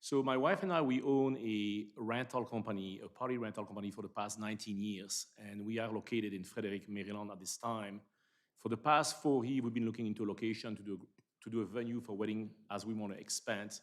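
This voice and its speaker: Man, Belgian accent, fairly low voice, halting speech, public meeting room. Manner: halting, repeats words. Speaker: business owner.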